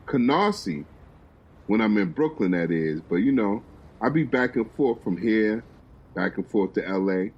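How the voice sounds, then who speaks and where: A man doing a cocky, smooth-talking charmer. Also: fairly low pitch, expressive, measured pace, dull audio. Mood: boasting.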